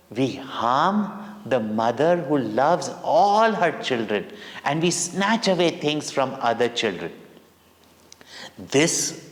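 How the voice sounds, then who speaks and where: A man with an Indian accent telling a devotional children's story as a spiritual teacher, in simple words with dramatic pauses. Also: medium pitch. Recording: long hall-like reverb on voice.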